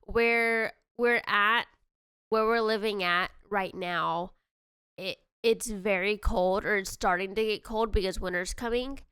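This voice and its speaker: Young woman with a nasal cold, relaxed rambling game voice-over, smooth tone, dry booth-like sound. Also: mid-range voice, a little slow, no echo. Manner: rambling, self-correcting, chatty. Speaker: YouTube host. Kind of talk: casual game commentary voice-over.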